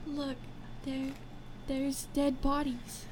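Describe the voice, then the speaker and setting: A woman's voice: high voice, monotone, drawn-out words, a little mellow, slight background noise. A woman playing a cruise passenger who takes the lead, in an amateur audio drama. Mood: urgent. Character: friendly female cruise passenger, quick to lead.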